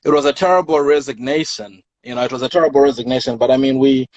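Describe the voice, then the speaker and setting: A man from Zimbabwe, a poet guest on an interview show, speaking reflectively and often pausing to search for the right words. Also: mid-range voice, fairly even intonation, a little mellow, no room sound, lo-fi sound. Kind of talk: casual interview talk.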